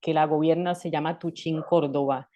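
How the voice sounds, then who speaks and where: A woman in her thirties from Colombia, a guest in an interview, talking in a reflective way with restarts and soft laughs. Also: deep voice, steady tone, moderate pace, dull audio. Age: 30s. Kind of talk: conversational interview.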